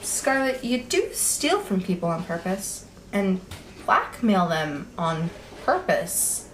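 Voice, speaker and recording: A young woman playing an earnest college student in an audio drama, talking casually in a halting, questioning way. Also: medium pitch, very animated, a bit bright. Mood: incredulous, prodding. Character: earnest, hesitant young college student, thief's incredulous friend. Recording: roomy echo, faint background noise.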